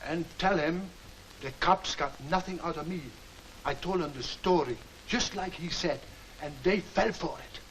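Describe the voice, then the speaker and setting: An actor playing a blunt, rough-talking gangster henchman in a 1940s film serial. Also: on the high side, measured pace, a bit bright, a little hiss or hum, rough recording. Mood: urgent, conspiratorial.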